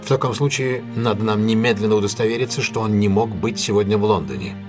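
An actor playing a shrewd, self-possessed gentleman detective in an audio drama, measured and authoritative. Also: fairly low pitch, over music, close to an everyday voice. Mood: brisk, commanding.

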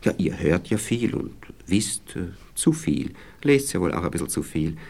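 A man's voice: sits low, very animated, normal speed, creaky, a little mellow, full character voice. An actor playing a smug, moralizing husband in a radio play, with an Austrian accent, talking down to his young wife as he gropes for words. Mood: pompous, explaining. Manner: patronizing, hesitant, groping for words.

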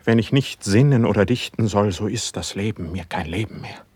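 An actor playing a sensitive, driven, melancholy young poet in a classical verse radio drama, with fervent, declaimed diction and a husky voice. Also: male voice, lowish voice, normal speed, darkish tone, dry recording. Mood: fervent, anguished.

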